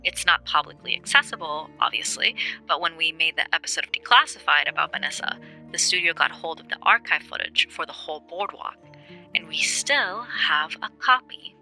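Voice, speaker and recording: A woman playing a brisk, wry, helpful investigative journalist in a naturalistic audio drama. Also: deep voice, expressive, vocal fry, bright, forceful tone, soft background music, exaggerated voice. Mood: wry, pleased.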